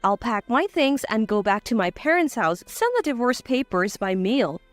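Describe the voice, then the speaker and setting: A woman's voice: a little high, sing-song, normal speed, dry recording, soft background music, voice pushed down. A woman voicing a cool-headed, defiant wife in a dramatized story, assertive and cutting. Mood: hurt, resolute.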